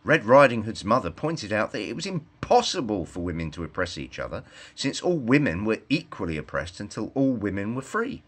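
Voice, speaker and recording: A man reading a scripted satirical fairytale as storyteller, in an expressive storybook manner. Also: fairly low pitch, brisk, slightly rough.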